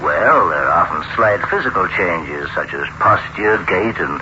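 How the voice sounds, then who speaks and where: A male psychiatrist in a vintage radio thriller. His voice is on the low side, with lively inflection and formal, authoritative phrasing. The old recording has clear noise. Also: highs cut off. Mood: calm, clinical. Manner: formal, measured, expository. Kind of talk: old-time radio drama acting.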